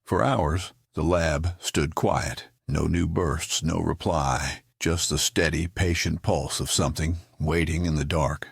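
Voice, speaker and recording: A man acting as the grave narrator of a sci-fi audio drama, gravelly and husky, with a solemn, portentous delivery. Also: very deep voice, steady tone, a little slow, hardly any pauses, no room sound, studio quality, full character voice. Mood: solemn, ominous.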